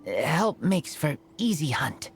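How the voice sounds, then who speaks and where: A man's voice: a little high, very animated, noisy background, full character voice. An actor voicing a wild young man raised by wolves, speaking in clipped, broken sentences. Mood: resolute. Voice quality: clipped broken speech, slow and gruff. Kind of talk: game character dialogue.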